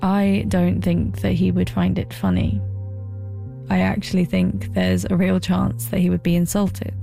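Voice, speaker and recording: A woman narrating her own documentary in a wry, reflective tone. Her voice sits fairly low, with a dark, mellow color and a gravelly fry at the ends of phrases. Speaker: documentary presenter.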